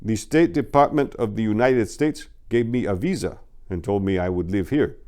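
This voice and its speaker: A man's voice: fairly low pitch, darkish tone, pitched above the performer's own voice, lightly acted. An earnest young immigrant man played by a male narrator. He speaks plainly in simple, careful English, a character in a crime audiobook. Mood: earnest, somber. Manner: simple, formal, halting English.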